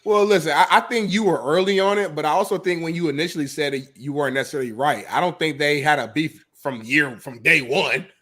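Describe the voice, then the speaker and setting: Higher-voiced man in his thirties. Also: quick pace, few pauses, a bit bright. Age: 30s. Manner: opinionated, laughs often, talks it through. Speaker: host. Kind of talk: casual sports talk.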